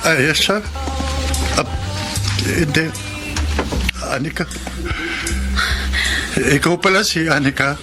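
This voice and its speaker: A man's voice: fairly high pitch, slow pace, pauses often, loud music behind the voice. A man playing a betrayed, melodramatic young lover in a Filipino radio romance drama. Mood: stunned, hurt.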